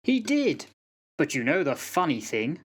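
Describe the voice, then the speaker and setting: A man's voice: fairly high pitch, sing-song, unhurried, breathy edge, exaggerated voice. A man playing a fatalistic old sergeant-major in a radio play, telling yarns in a folksy, dramatic way. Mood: storytelling, fatalistic. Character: old soldier sergeant-major, fatalistic yarn-spinning raconteur. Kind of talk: amateur radio play acting.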